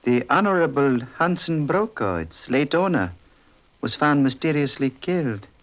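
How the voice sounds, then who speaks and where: Formal, sinister gentleman collector, muffled. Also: fairly high pitch, big pitch swings. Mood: politely menacing. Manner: formal, measured, polite. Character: gaunt, courteous, sinister foreign idol collector. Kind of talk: 1940s radio acting. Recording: dull old radio recording.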